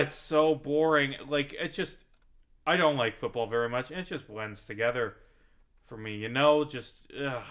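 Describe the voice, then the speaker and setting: An American man with a slightly higher-pitched voice rambles casually as he records a gaming vlog. He talks in a self-deprecating, comically exasperated way and swears offhandedly. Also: fairly bright tone. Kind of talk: casual rambling chat over gameplay.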